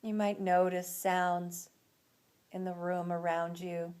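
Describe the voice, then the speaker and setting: A woman leading a guided relaxation as an instructor, speaking in a soothing, unhurried manner with long pauses between phrases. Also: lowish voice, level tone, a little mellow.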